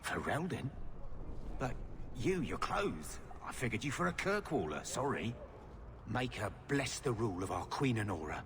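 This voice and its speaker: An actor playing a suspicious, protective refugee tough who talks bluntly and colloquially in a video game. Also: male voice, medium pitch, sing-song, normal speed, in a large hall, background noise. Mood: apologetic. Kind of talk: video game drama acting.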